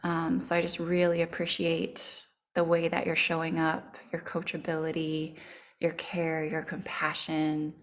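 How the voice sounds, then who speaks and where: A woman's voice: low-pitched, completely flat delivery, a little slow. A woman hosting a team video call, encouraging and praising her teammates one by one. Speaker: call host, team leader. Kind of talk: casual video-call speech.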